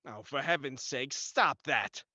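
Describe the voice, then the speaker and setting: Man acting a desperate, animated radio-drama nephew. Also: medium pitch, unhurried, no echo, muffled recording, full character voice. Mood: exasperated. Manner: pleading, indignant, melodramatic. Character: desperate, exasperated nephew in money trouble. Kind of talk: 1940s horror radio acting.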